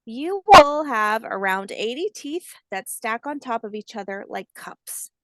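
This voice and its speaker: A woman voicing a kindly, patient old grandmother alligator, telling facts in a gentle storybook manner. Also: fairly high pitch, expressive, measured pace, no room sound. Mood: proud, instructive. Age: older.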